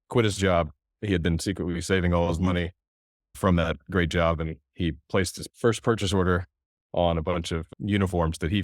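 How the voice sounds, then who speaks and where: A middle-aged American man, second generation in his family's business, telling its history in a relaxed, conversational way. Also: sits low, no room sound, clean studio sound. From United States. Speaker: company executive. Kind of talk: storytelling in an interview.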